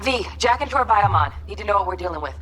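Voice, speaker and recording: A woman's voice: medium pitch, lively intonation, fast, a bit bright, slight background noise, natural voice. A woman voicing a cool, businesslike operative in a video game, direct and clinical under urgency. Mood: urgent.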